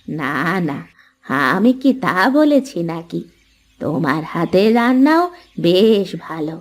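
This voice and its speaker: A woman voicing a kindly elderly village mother-in-law in a cartoon, speaking in a measured, soothing way. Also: fairly low pitch, very animated. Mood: diplomatic, reassuring. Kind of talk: cartoon voice acting in Bengali family story.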